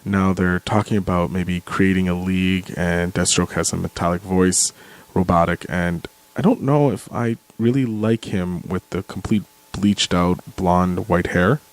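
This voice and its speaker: A man giving off-the-cuff film commentary, describing what he watches step by step in a halting way. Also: deep voice, fairly even intonation, poor audio. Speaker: commentary contributor.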